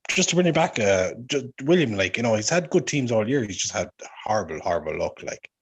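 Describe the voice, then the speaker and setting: A man chatting casually, animated and saying "like" often. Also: on the low side, brisk, husky, poor audio. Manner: animated, chatty, peppers speech with "like". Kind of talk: casual chat.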